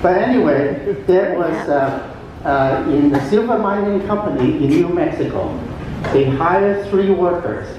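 An older man whose first language is Cantonese, telling jokes at a cruise ship talent show into a handheld microphone, with pauses and fillers. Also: expressive, muffled recording, background noise. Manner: chatty joke-telling with frequent pauses and fillers. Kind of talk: stand-up comedy. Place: large atrium on a cruise ship. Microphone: handheld microphone through a PA, filmed from afar. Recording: booming PA echo from a distant camera.

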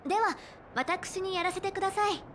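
Very high-voiced, bookish, polite Pela. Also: female voice, normal speed, a bit bright, slight background noise. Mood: polite, curious. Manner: polite formal speech, precise, studious. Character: Pela, bookish young woman, polite, earnest, diligent. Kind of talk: anime game voice acting.

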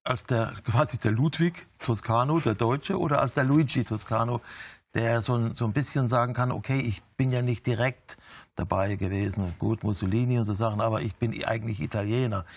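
An older man hosting a studio interview, asking long measured questions and explaining background with many pauses and ums. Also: medium pitch, no echo. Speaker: TV interview host.

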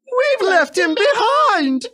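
A woman voicing a pair of fretful female railway coaches in a storybook, wailing high and drawn out. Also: a little slow. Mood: sobbing.

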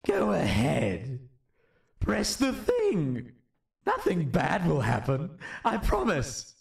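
Sly monster jester: slow, coaxing, echoey game sound. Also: male voice, fairly high pitch, huge pitch swings, vocal fry, exaggerated voice. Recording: echoey, distant, music behind.

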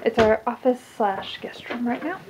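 A woman's voice: fairly high pitch, moderate pace. A young woman giving a casual, rambling tour of her house while filming on a handheld phone, with distracted asides as she walks from room to room. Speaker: vlogger. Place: house, indoors, walking room to room. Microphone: handheld phone camera at arm's length. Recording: muffled phone audio with handling noise.

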